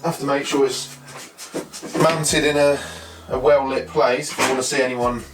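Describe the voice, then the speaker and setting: A man's voice: mid-range voice, fairly even intonation, normal speed. Echoey British man reviewing tech. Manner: hesitant, thinking aloud in short fragments. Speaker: presenter of a tech product review. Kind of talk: casual unscripted review talk. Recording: roomy echo, distant mic, faint music.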